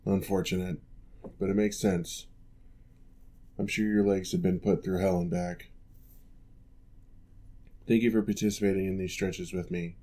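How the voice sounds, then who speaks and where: A man voicing a former orc general who now works as a patient physical therapist, giving calm, measured instructions in an ASMR roleplay. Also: deep voice. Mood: reassuring.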